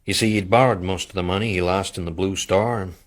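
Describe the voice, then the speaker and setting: An actor in a radio drama playing a blunt businessman, husky and folksy. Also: deep voice, steady tone, fast, natural voice. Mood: matter-of-fact. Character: blunt American businessman bearing grim news.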